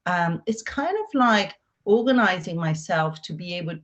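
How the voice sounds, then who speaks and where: A woman's voice: on the low side, lively intonation, moderate pace. A middle-aged woman from Argentina with an Argentine Spanish accent, a real estate agent talking in a conversational interview, chatty and upbeat with lots of fillers and restarts.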